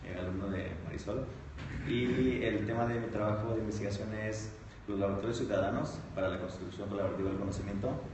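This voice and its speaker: A Mexican-accented man with a fairly low voice introduces himself to a group in a halting way. He is picked up from far off in a room full of echo. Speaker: doctoral student. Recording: echoey room, distant mic, background noise.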